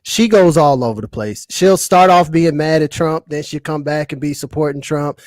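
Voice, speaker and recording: Dry, higher-pitched male podcast panelist. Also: normal speed, darkish tone, no room sound. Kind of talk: casual panel discussion.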